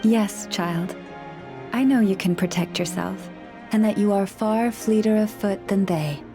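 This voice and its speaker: A woman voicing a gentle, wise woman in a video game who speaks with animals, talking in a calm, measured, softly reflective way. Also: mid-range voice, music in the background, close to an everyday voice.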